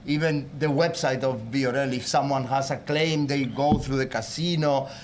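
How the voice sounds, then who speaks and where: A man from Spain with a Spanish accent, speaking on a panel in a casual, animated way. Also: mid-range voice, moderate pace, a little hiss or hum. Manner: animated, acts out quoted voices.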